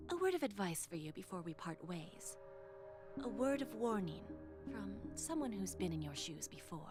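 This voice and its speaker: A shrewd, patient noblewoman from a video game drama, played by a woman. Her mid-pitched voice is formal and silky, teasing as she bargains, with loud music underneath. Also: natural voice.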